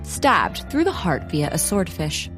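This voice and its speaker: Animated serial narrator over loud music. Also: female voice, low-pitched, a little slow. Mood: playful, dramatic. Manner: theatrical, playful. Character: animated, playful narrator of a murder-mystery serial. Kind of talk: dramatic scripted narration.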